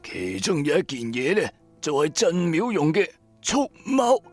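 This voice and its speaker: A man's voice: fairly high pitch, sing-song, unhurried, soft background music, voice pushed up. A man voicing a sly old blind fortune-teller, a preachy mystic with a theatrical, persuasive manner, in an audio drama. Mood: ominous, persuasive. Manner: theatrical, persuasive, self-righteous.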